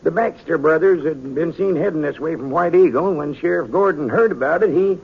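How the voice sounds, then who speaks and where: A man's voice: fairly high pitch, a little fast, gravelly, slightly rough. A man playing a folksy, rambling old-timer with an American accent in a 1950s radio Western. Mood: chatty, admiring. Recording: muffled 1950s radio recording.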